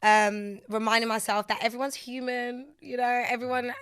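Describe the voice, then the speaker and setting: A young woman from London, a radio and TV presenter, talking candidly as a podcast guest. Her voice is raspy today from being out a lot, and she laughs easily. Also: on the high side, fairly even intonation, normal speed, a bit bright. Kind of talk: casual podcast interview.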